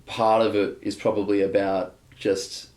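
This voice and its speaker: A young man with a fairly low voice chats openly in an interview, wandering through his thoughts with plenty of fillers and false starts. The room around him is echoey and hollow. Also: level tone, a little slow, a little mellow. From Australia. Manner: rambling, candid, many fillers and restarts. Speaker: guest bass player. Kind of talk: casual interview chat.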